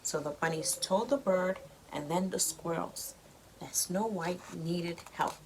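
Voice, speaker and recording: A woman reading a storybook to a young child as a mother, with expressive delivery and simple explanations. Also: fairly low pitch, unhurried, a little hiss or hum, low-quality recording.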